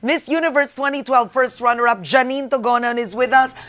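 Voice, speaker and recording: Bubbly high-voiced woman hosting a talk show. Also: a bit bright. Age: 40s. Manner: bubbly, teasing, quick to laugh. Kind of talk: casual studio chat mixing English and Tagalog. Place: TV studio.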